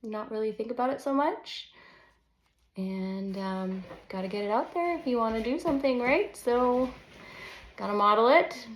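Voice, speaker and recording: A woman in her early forties talking candidly and a little nervously in an unscripted personal vlog, halting and self-conscious. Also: a little high, slight background noise. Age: early 40s. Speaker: counselor and children's book author. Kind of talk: unscripted personal vlog, reading own manuscript. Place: indoors at a desk, live unedited home recording. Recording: roomy echo of an untreated room.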